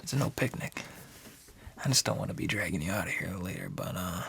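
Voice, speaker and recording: A man's voice: a little fast, fairly bright tone, full character voice. A man playing a friendly, nosy bartender in an ASMR noir radio drama, talking in a hesitant, chatty half-whisper full of uhs. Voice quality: gravelly low whisper.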